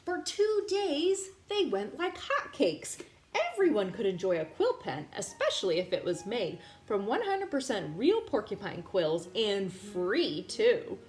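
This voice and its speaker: Expressive woman reading aloud in an echoey room. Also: medium pitch, a little slow. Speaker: children's story reader, teacher. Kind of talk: expressive read-aloud for young children. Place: indoor room. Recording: roomy echo, clear background noise.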